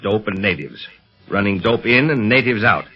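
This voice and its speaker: An American actor playing an earnest, commanding secret police chief in a 1930s radio serial, formal and deliberate in delivery. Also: male voice, lowish voice, expressive, slightly rough. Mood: earnest, urgent. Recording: dull, band-limited 1930s radio recording.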